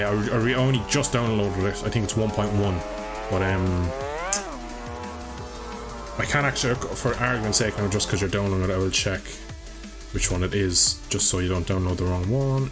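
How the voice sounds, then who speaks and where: A man chatting his way through a live game stream, rambling and swearing when he crashes. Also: fairly low pitch. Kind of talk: live gameplay commentary.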